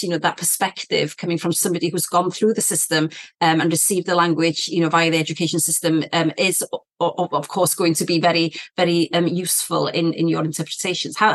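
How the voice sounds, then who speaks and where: A woman's voice: on the low side, a bit bright. A woman hosting an academic podcast, asking long questions with encouraging remarks and many fillers. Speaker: podcast host, professor.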